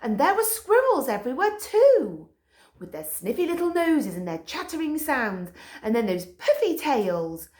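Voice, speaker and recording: A woman voicing an excitable, hot-tempered male dog who rants in outrage, in a roomy echo. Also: high voice, measured pace, pitched above the performer's own voice. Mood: indignant. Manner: ranting, outraged, dramatic.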